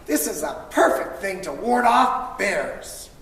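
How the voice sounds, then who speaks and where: A woman reading a children's picture book aloud to school pupils, expressively, with dramatic emphasis and drawn-out words. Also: mid-range voice, very animated. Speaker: storybook reader for school children. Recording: distant mic, echoey reverberant room, faint noise.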